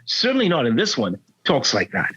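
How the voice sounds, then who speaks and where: A man in his fifties, co-hosting a casual podcast, animated and playfully mock-indignant. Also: medium pitch, brisk, husky, highs cut off. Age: 50s. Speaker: podcast co-host. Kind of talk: casual chat. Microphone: remote call.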